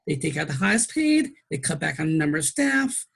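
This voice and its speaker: Gravelly, husky-voiced woman. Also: deep voice, very animated, brisk. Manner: emphatic, pointed explaining with rising stresses. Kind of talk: conversational interview.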